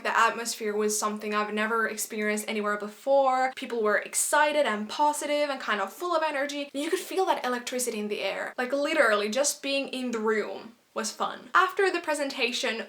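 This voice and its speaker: A young woman from Finland, a native Finnish speaker, chatting casually to camera as a vlogger in an animated storytime style. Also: on the high side. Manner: chatty and animated, quick asides and quoted exclamations.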